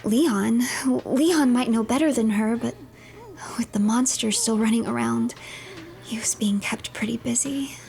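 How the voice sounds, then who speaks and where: A woman streamer narrating a game as a dramatic story in a soft storyteller delivery that drifts toward whispers. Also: a little high, unhurried, gravelly. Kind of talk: improvised dramatic story narration over gameplay.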